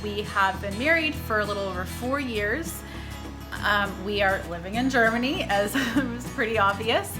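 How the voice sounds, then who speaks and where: A woman's voice: medium pitch, moderate pace, music in the background, low-quality recording. A young woman from Texas, chatting upbeat in a casual vlog introduction. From Texas, USA. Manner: upbeat and chatty, with light laughs. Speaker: vlogger, military spouse.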